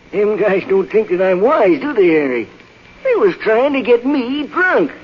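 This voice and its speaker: High-pitched, boastful drunken sidekick. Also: male voice, very animated, takes it slowly, full character voice. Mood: tipsy, befuddled. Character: boastful, slow-witted, hard-drinking fishing-boat sidekick. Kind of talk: 1940s radio acting. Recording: dull, noisy 1940s radio recording.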